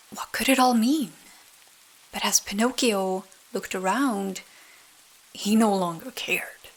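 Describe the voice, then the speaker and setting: A woman narrating a bedtime story in soft, breathy, soothing ASMR style. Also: medium pitch, rough recording. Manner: slow, soothing. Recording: rain sounds behind the voice. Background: rain.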